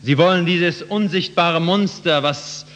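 A man's voice: high voice, a little slow, fairly bright tone, a little hiss or hum. A man interpreting a sermon phrase by phrase for the listeners. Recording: muffled, reverberant hall.

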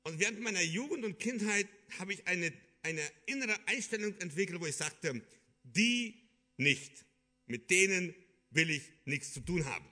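A man from Kyrgyzstan preaching a sermon in an earnest, halting way, with many fillers and restarts. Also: high-pitched, lively intonation, pushed and bright.

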